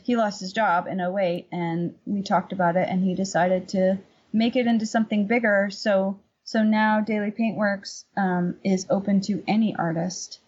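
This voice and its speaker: Husky woman, slightly dull recording. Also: mid-range voice, darkish tone. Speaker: podcast guest, painter and workshop teacher. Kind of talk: casual conversational interview answers.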